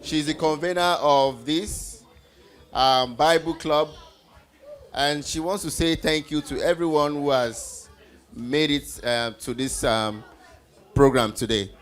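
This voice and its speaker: A man hosting a live event, making announcements to an audience in a deliberate, hesitant way. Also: on the high side, fairly even intonation, a bit bright. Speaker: event host.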